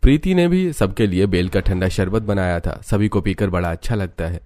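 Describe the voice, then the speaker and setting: Low-voiced man narrating a story. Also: lively intonation, normal speed, a little mellow, no room sound, studio quality. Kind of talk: scripted Hindi cartoon story narration.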